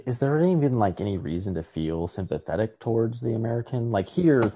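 A man co-hosting a podcast, discussing stories casually in a halting, tentative way and often correcting himself. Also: fairly low pitch, expressive, mellow, breathy edge, highs cut off. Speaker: podcast co-host.

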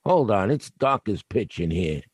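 An actor playing a gruff theater night watchman with a blunt, working-class New way of talking, in an audio drama. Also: on the low side, sing-song, a little slow, a little hiss or hum. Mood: grudging.

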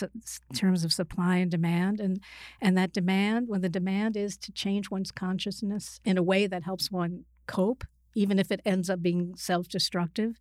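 A woman speaking as an expert guest in a conversation, explaining in a measured, analytical way with hesitant self-corrections. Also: mid-range voice, a little mellow, dry recording, clean studio sound. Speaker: expert guest, psychiatrist and author.

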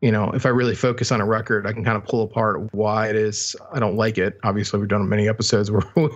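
Lowish-voiced man, slightly dull recording. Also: flat delivery, fast talker. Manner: tentative, thinking aloud. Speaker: podcast co-host. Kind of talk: casual music discussion.

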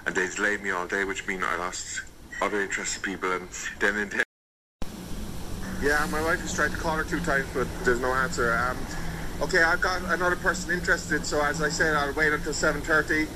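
A man's voice: on the high side, steady tone, a little fast. A man leaving insistent, defensive voice messages to a buyer, calling him "mate" and pressing him to act. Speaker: seller in voice messages. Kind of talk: recorded voice messages. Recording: noisy phone voice message.

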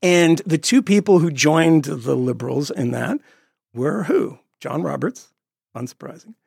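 A man co-hosting a podcast, talking in a quick, digressive, jokey way about politics. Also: on the high side, lively intonation, vocal fry, darkish tone, breathy edge, no room sound, clean studio sound. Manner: quick, digressive, jokey, slips into put-on voices. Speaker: podcast co-host. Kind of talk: casual political chat.

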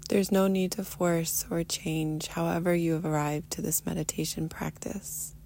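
Female meditation guide, very even and low, soothing and unhurried, drifting toward a whisper. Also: slight background noise. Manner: soothing, unhurried, often trailing into breathy near-whisper. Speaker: guided meditation teacher, podcast host. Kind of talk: guided meditation, soft instruction.

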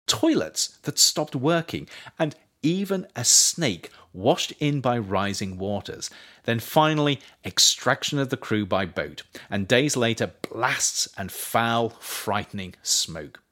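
A man, the author, reading his own nonfiction book aloud in a measured, emphatic way, lifting key words and dropping his voice at the ends of sentences. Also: medium pitch, fairly bright tone. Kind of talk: audiobook narration.

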